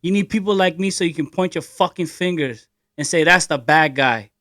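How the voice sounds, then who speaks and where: Guy doing a loud cocky gangster, sneering and raised up, dry mic. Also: fairly high pitch, normal speed, dry recording, close to an everyday voice. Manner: loud, sneering, punchy delivery. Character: swaggering defiant male gangster, bitter and loud. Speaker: podcast co-host.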